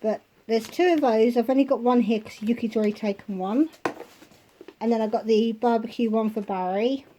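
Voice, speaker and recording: A woman vlogger at home, chatting casually through a shopping haul and listing prices, her voice going hoarse. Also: a little high, dark tone, a little hiss or hum, rough recording. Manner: chatty, unhurried, itemizing prices.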